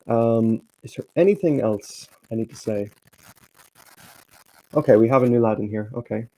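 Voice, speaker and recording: A man hosting a casual live stream, slightly hoarse and halting, with many restarts. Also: mid-range voice, dark tone, poor audio. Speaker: stream host.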